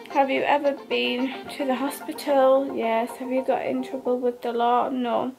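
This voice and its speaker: A woman's voice: gravelly, poor audio. An eighteen-year-old woman from the of England, lying in bed and giving short, sleepy answers to a list of questions. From North East England. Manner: sleepy, low-key, short flat answers. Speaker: vlogger. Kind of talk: casual Q&A vlog.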